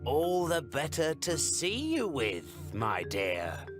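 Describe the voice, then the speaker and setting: A woman voicing a sly male wolf who is pretending to be a grandmother, with a croaking, crackly voice and slow, drawn-out speech. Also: rumbling low, wildly swooping pitch, loud music behind the voice, voice pushed down, full character voice. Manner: slow, drawn-out, mock-sweet. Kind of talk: storybook reading.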